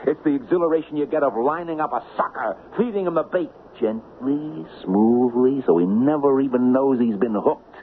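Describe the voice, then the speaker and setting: An actor playing a smooth, commanding con-man boss, coaxing and mocking in a theatrical old-time radio style. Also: medium pitch, normal speed, hardly any pauses, lightly acted. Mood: seductive. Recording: lo-fi hissy old radio recording.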